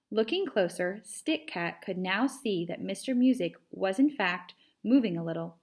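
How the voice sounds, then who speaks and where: A woman reading a children's storybook aloud as a narrator, like a teacher to pupils. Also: mid-range voice.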